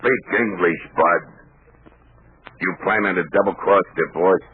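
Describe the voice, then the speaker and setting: An actor playing a blunt, suspicious gangster thug with an American accent in a 1940s radio comedy. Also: male voice, sits low, measured pace. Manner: gruff, blunt, slangy. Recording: muffled 1940s radio recording.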